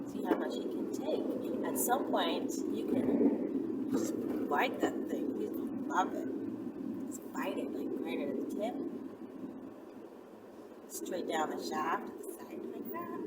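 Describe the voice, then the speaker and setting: Woman vlogger, very noisy distant recording. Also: mid-range voice. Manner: conversational, playful, checks in with tag questions. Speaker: channel host. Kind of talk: casual instructional vlog.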